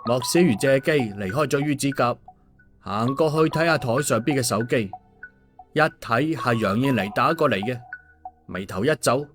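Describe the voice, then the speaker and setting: A male audiobook storyteller, narrating a scripted tale at an ordinary middle pitch. Soft music plays behind his voice. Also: expressive, moderate pace. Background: background music.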